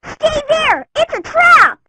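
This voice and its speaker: A woman's voice: extremely high pitch, very animated, takes it slowly, noisy background, full character voice. A woman playing an eager, admiring little boy in a cartoon game, with a childlike, earnest delivery. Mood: alarmed. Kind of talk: cartoon video game acting.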